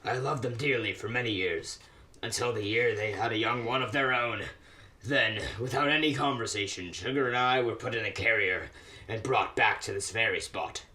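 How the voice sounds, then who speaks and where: A man's voice: mid-range voice, moderate pace, pushed and bright, lightly acted. A man playing a grumpy, haunted miser cat with theatrical bluster in an amateur radio play. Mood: sorrowful, bitter.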